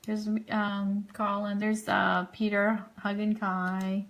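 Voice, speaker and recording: A woman's voice: mid-range voice, completely flat delivery, slow. A woman giving casual live commentary on trip photos as host of an online fan show, chatty and enthusiastic.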